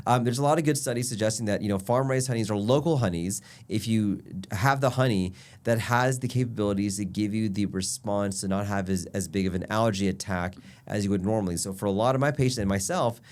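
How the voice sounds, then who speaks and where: A man's voice: fairly low pitch, fast, hardly any pauses, a little mellow. A man with a stuffy, nasal voice from allergies, a doctor explaining health tips in an upbeat casual chat. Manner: upbeat, explanatory, lists points. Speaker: physician guest.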